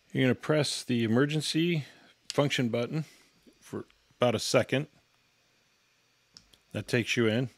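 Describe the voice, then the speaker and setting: A man's voice: medium pitch, dry recording. A man giving an instructional product walkthrough in a matter-of-fact, step-by-step way. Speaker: product reviewer and demonstrator.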